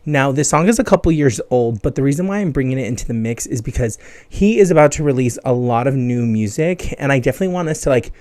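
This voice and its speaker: A man's voice: medium pitch, lively intonation, fast talker, a little mellow, no room sound. A man hosting a podcast in a casual, enthusiastic and emphatic monologue, recommending music in a chatty way. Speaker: podcast host.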